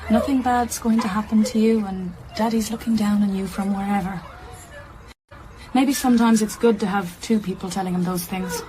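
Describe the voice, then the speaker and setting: A brisk, blunt but warm-hearted woman childminder played by an actress teases in natural radio drama style. Her voice sits at a middle pitch, with clear noise around it. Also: fairly even intonation, natural voice, rough recording. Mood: teasing, brisk.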